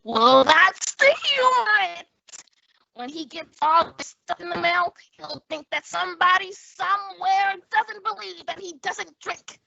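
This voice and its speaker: Actress as impish high school boy, very high voice, radio sitcom, close dull recording. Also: lively intonation, unhurried, vocal fry, sharp tone, dry recording, exaggerated voice. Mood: gleefully scheming. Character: mischievous, eager teenage schoolboy. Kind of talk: old-time radio comedy acting. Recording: dull, muffled audio.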